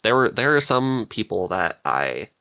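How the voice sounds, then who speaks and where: A man's voice: on the low side, big pitch swings, measured pace, pushed and bright, clear, resonant tone, dry recording. A man hosting a podcast in casual, unscripted chat, rambling along with enthusiasm. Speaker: podcast host and interviewer.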